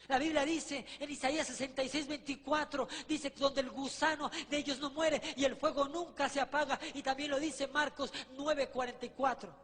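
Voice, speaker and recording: A man's voice: very high voice, steady tone, normal speed, few pauses, fairly bright tone. A man preaching a vivid testimony in a fervent storytelling manner, often putting rhetorical questions to his listeners. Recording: reverberant hall with long echo.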